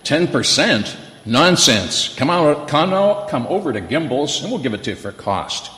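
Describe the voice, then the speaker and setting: A man's voice: a little high, very animated, normal speed, a bit bright. A man playing a brash, goading rival store owner in an old-time radio play, hearty and teasing. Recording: echoey, reverberant hall.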